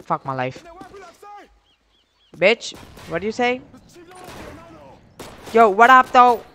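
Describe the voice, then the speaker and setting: A man's voice: high-pitched, sing-song, takes it slowly, bright, forceful tone. A young man casually telling a story while commentating on a game, rambling in slang with heavy swearing. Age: young adult. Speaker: gaming video creator telling stories over gameplay.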